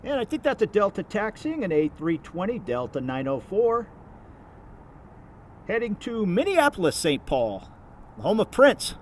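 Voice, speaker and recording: A man's voice: high-pitched, very animated, measured pace. A man giving casual, off-the-cuff commentary as a plane-spotting vlogger, outdoors. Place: outdoors near an airport runway. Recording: outdoor recording with faint traffic noise. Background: faint vehicle and outdoor ambience.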